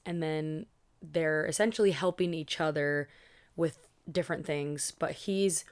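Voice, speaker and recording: A woman's voice: deep voice, clear, resonant tone. A young woman streaming a read-along, chatting casually with lots of fillers and asides, her voice tired and a little scratchy. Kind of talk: casual chat to a live audience.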